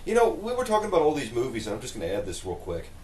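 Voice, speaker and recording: A man talking casually on a panel, explaining his opinions at length and deliberately. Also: medium pitch, huge pitch swings, darkish tone. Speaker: podcast co-host. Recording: roomy echo, faint background noise.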